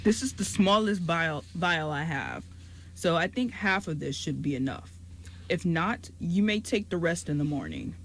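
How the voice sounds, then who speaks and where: A woman playing a gentle, caring old male giant in an amateur radio drama. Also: on the low side, lively intonation, moderate pace, slight background noise. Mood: kindly.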